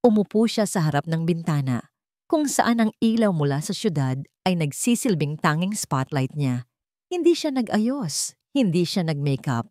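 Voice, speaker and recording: Female Filipino narrator, lower register, deliberate dramatic storytelling, dry sound. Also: big pitch swings, darkish tone, no room sound.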